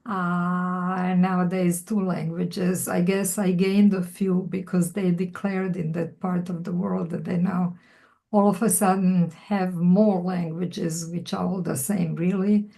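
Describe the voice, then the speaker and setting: A woman in her eighties with a soft, mellow tone speaks slowly and with care. She reads her own poems and then answers questions about them with dry, thoughtful humor. Her voice comes through a video call. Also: on the low side, little pitch movement, gravelly, rough recording. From Croatia. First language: Serbo-Croatian. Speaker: featured poet. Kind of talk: poetry reading, then conversational Q&A.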